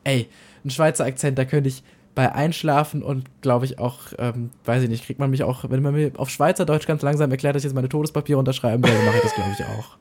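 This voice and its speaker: A man's voice: mid-range voice, steady tone, quick pace, a little mellow. A man, chatting casually and a bit ramblingly as a podcast host on a call. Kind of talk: casual phone conversation. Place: makeshift room away from usual studio. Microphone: good podcast microphone.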